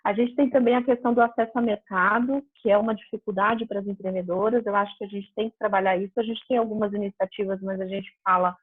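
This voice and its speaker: A middle-aged woman speaking on an online panel about women entrepreneurs, running briskly through a list of points. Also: mid-range voice, steady tone, slightly rough, no room sound. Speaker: founder of an entrepreneurs network. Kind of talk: panel discussion. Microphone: video call. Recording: tinny phone-like video call line.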